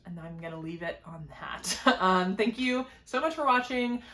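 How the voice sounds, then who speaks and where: A young woman hosting a knitting video podcast, chatting casually with lots of fillers, her voice a little hoarse. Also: lowish voice, expressive, normal speed, a bit bright. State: slightly hoarse, losing her voice. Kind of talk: casual chat to camera. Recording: echoey room.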